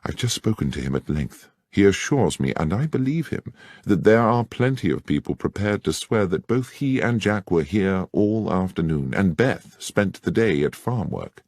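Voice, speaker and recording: A man playing a shrewd gentleman detective with an English accent, formal, precise and authoritative. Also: low voice, sing-song, normal speed, dry recording, studio quality, voice pushed up, full character voice. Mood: analytical. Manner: crisp, precise, formal, commanding.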